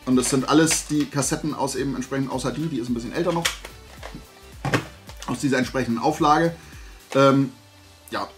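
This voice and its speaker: A man's voice: medium pitch, fairly quick, pauses often, music in the background. A man chats casually to camera as a vlog host, blunt, opinionated and colloquial. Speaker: host of a collecting haul vlog. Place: small indoor room lined with collectibles.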